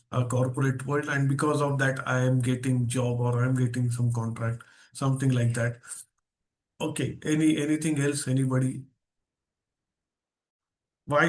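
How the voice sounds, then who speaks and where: A man with an Indian accent leads an online mindset seminar as guest speaker. His voice sits at a medium pitch, and he stresses key phrases. He often puts rhetorical questions to his listeners. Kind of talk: interactive online seminar talk. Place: online video session.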